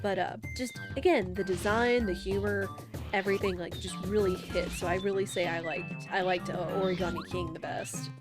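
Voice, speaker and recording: A woman's voice: medium pitch, fairly even intonation, moderate pace. A young woman who sounds congested and nasal from a cold, chatting casually while streaming a game with rambling, filler-heavy talk. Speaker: gaming streamer. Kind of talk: casual live-stream commentary. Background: game music.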